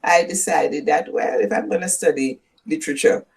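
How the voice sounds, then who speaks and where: An older woman from Jamaica with a Jamaican accent, speaking as a guest professor in a measured, storytelling way that leans on key words. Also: deep voice, gravelly, slightly rough. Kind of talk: reflective interview conversation.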